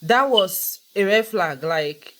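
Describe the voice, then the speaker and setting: A woman's voice: mid-range voice, expressive, slow pace, fairly bright tone. A young Nigerian woman with a Nigerian accent, vlogging in a casual, earnest storytelling monologue and repeating points for emphasis.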